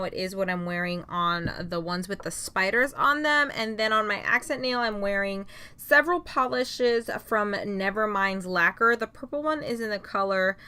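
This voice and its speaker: A woman's voice: fairly high pitch, bright, forceful tone, smooth. A young adult woman vlogger giving a casual, chatty product review, filling her talk with "like" and "um". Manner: chatty, many "like" and "um" fillers. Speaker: beauty vlogger.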